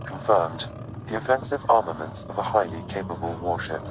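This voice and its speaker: An actor voicing a precise, unemotional artificial intelligence in a video game, speaking in a measured, formal way. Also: male voice, sits low, music in the background, natural voice, poor audio. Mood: neutral, informative. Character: calm, precise ship artificial intelligence advising its human partner.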